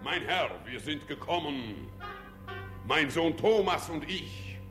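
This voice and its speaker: An actor in a comic radio play voicing a pompous, ceremonious doctor and proud father, speaking in a grandiose, measured manner. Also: a little high, animated, music in the background. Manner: grandiose, measured, drops Latin words.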